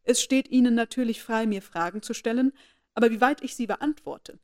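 A woman voicing a composed young woman who answers in a calm, cool and quietly defiant way. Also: on the high side, a little fast, close to an everyday voice.

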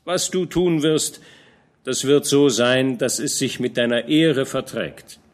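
A man's voice: mid-range voice, slow pace, voice pushed up, close to an everyday voice. Man reading as a shrewd fatherly old captain. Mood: admonishing. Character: older male field captain, shrewd, fatherly.

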